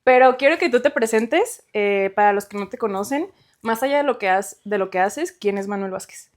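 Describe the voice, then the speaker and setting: A woman hosting a podcast interview, excitable and quick with short encouraging replies. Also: mid-range voice. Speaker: podcast host.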